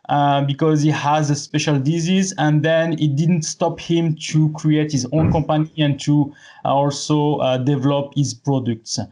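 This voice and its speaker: A man hosting a podcast interview, friendly and halting, with many fillers. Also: a little high. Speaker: podcast host.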